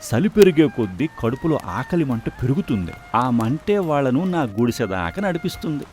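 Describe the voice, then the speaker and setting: A man plays a humble village food seller in a moral tale. He speaks in an earnest, reassuring way with lively swings of pitch in a mid-range voice, over faint music. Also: moderate pace, natural voice. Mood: confident. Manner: reassuring, earnest, proverb-laden. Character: poor, confident, philosophical food stall husband. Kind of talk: dramatized moral story voice acting.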